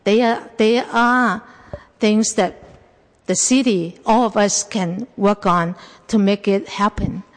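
A woman giving a measured, halting public comment speech at a hearing. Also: mid-range voice, fairly even intonation. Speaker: translator and housing advocate at a public hearing. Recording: echoey public hall, long reverb.

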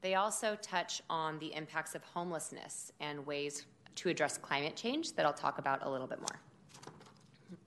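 Low-voiced woman presenting a budget. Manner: loud, steady speaking voice; measured, informative delivery with occasional fillers. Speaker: city department director. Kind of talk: formal budget presentation at a public meeting.

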